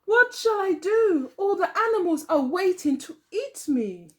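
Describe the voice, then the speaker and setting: Woman putting on a high, plaintive old woman. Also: animated, a little slow, a bit bright, full character voice. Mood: distressed. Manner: high, plaintive, sing-song pleading. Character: anxious, pleading thin old village woman, a mother.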